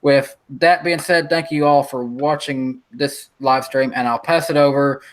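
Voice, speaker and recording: A man's voice: mid-range voice, measured pace. A man in his twenties hosting a casual podcast chat over a video call, often restarting his sentences. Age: 20s. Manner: relaxed, repeats words while starting sentences. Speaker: podcast host.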